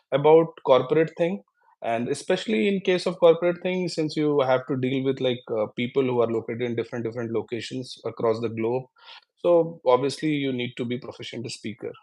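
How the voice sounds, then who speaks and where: A man's voice: mid-range voice, a little mellow, poor audio. A man with an Indian accent answering questions as a guest English trainer, explaining earnestly and repeating points for emphasis. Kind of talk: live question-and-answer session, explanatory advice.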